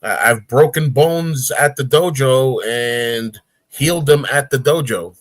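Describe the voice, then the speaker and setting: A man's voice: mid-range voice, slow, dry recording. A man in his forties, a guest on a casual talk show, telling stories with energy and acting out grunts and voices. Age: 40s.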